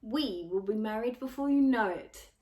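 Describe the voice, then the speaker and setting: A woman's voice: on the high side, lively intonation, normal speed, mellow, distant mic, pitched above the performer's own voice, close to an everyday voice. Woman doing a creepy, taunting young male bully. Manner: taunting, smug.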